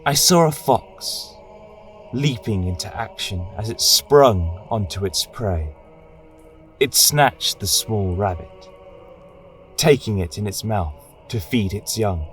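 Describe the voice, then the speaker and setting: A man voicing a serene female angel and warrior queen in a fantasy audio tale, soft, reverent and stately. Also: on the low side, lively intonation, takes it slowly, faint music behind. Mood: reverent wonder.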